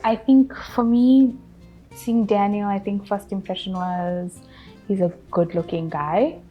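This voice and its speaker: A woman's voice: mid-range voice, steady tone, unhurried, muffled recording. Female, twenties, South African accent, casual interviewee, faint music underneath. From Durban, South Africa. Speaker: interviewee telling her relationship story. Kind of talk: casual personal account.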